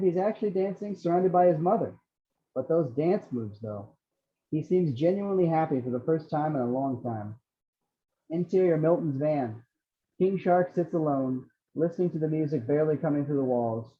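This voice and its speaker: A man reading out screenplay action descriptions as the narrator of a table read. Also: fairly high pitch. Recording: slightly dull lo-fi microphone.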